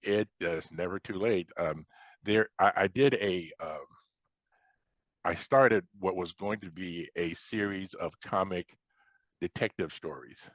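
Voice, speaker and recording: A man's voice: low-pitched, a little slow, no echo, muffled recording. An American man in his seventies from Chicago talking casually as an interview guest, hesitating and repeating words as he reminisces. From Chicago, United States. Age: 70s.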